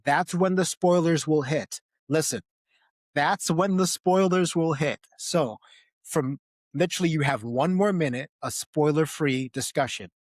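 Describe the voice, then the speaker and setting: A man's voice: a little high, unhurried, dry recording. A man hosting a casual film discussion podcast, speaking emphatically and repeating phrases for effect. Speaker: podcast host.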